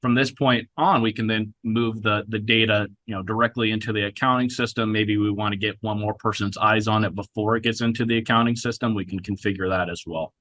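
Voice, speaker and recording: A man with a fairly low voice presents software in a conversational, businesslike manner. The sound is dry and close, as he talks through a live product demo. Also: steady tone, a little fast, few pauses, no echo.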